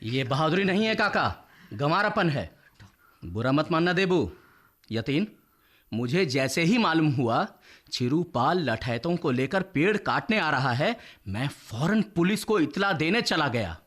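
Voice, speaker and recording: An animated, blunt village doctor. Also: male voice, a little high, unhurried, exaggerated voice. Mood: indignant, scolding. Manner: argumentative, emphatic. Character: blunt, indignant village doctor, scolding his friends. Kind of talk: Hindi radio drama acting.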